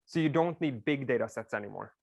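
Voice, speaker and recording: A man's voice: medium pitch, animated, fast, gravelly, a little mellow. Swedish man lecturing casually. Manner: casual, explanatory, with filler "like". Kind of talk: classroom lecture.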